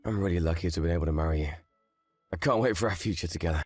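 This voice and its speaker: A man's voice: deep voice, rapid-fire, no room sound, studio quality, faint music behind. A man playing an earnest, frank young husband in scripted story dialogue, speaking expressively. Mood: anxious, grateful.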